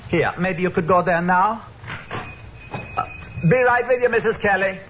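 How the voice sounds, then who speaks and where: An actor playing a fretful, kindly older deli owner with a New York Yiddish accent, pleading and emphatic, in an old radio drama. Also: male voice, sits high, a little slow, fairly bright tone. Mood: pleading, urgent. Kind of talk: old-time radio acting. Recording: muffled, noisy old radio recording.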